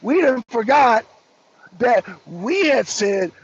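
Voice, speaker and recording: A man's voice: extremely high pitch, sing-song, slow, husky. A man hosting a political talk show, giving blunt, emphatic commentary and repeating phrases for effect. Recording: dull lo-fi audio.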